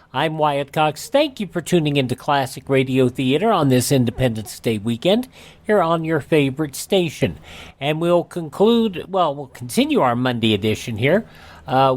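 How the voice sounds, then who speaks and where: A man hosting a radio program, presenting and reading an advertisement in a casual, halting conversational way. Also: fairly high pitch. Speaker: radio host.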